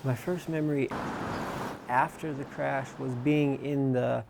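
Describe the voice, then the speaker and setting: A young man speaks in a mid-range voice with a lot of vocal fry. He answers reflectively, as an interviewee in a news story. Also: mid-range voice, steady tone, unhurried, background noise. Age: young adult.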